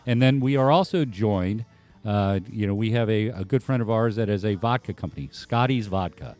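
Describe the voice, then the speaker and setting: Low-voiced man hosting with a music bed. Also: normal speed, a little mellow. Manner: rambling, many fillers and restarts. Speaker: host. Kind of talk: casual radio talk show chat. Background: faint band music.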